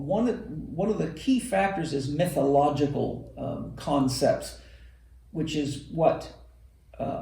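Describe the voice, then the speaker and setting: Gravelly man answering from afar. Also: a little high, mellow. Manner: thoughtful, explaining at length. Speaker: host answering a listener question. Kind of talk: casual podcast answer. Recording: roomy, from a distant mic.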